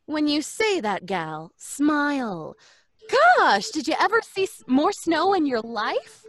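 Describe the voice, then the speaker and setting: A woman's voice: high-pitched, big pitch swings, takes it slowly, no echo, heavily acted. A woman playing a brash, fun-loving young wisecracker in an old-time radio drama, with a sassy, playful drawl. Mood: teasing.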